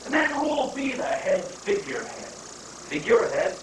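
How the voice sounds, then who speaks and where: A performer in a live play reading playing a blustering, cynical political aide who speaks emphatically and deliberately. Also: medium pitch, sing-song. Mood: boastful. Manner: deliberate, emphatic, halting lists. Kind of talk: dramatic play reading before audience. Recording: echoey, distant, noisy 1980s live recording.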